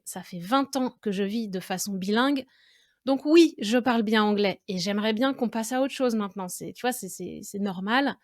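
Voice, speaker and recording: A woman's voice: on the high side, no echo, studio quality. A French woman hosting an educational podcast, talking to the listener directly in a reflective, conversational way. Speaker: podcast host and French teacher.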